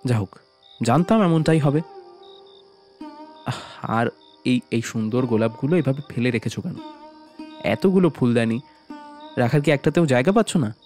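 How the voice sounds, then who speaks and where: An affectionate, teasing husband acted by a man in an audio drama. His mid-pitched voice chides tenderly and playfully, with soft music underneath. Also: animated, normal speed, pauses often, natural voice. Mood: tender scolding. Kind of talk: Bengali audio drama acting.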